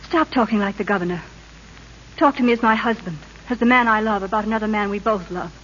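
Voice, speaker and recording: A woman's voice: mid-range voice, quick pace, a bit bright, close to an everyday voice. Lady acting an politician's wife, dramatic, insistent, indignant, old radio play with faint hiss. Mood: pleading. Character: governor's pleading, passionate, morally indignant wife. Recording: muffled, noisy vintage radio recording.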